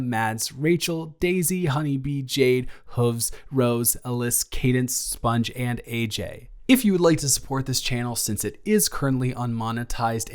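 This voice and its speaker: A man with a medium-pitched voice reads out supporters for his audio channel. He delivers names and a short support message as the creator.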